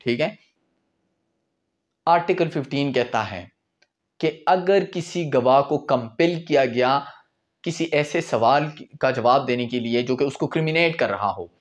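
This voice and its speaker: A man's voice: mid-range voice, expressive, a little slow. A man in his twenties with a Pakistani accent, lecturing informally on law and explaining as he goes, mixing in English legal terms. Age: 20s. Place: small indoor room with whiteboard.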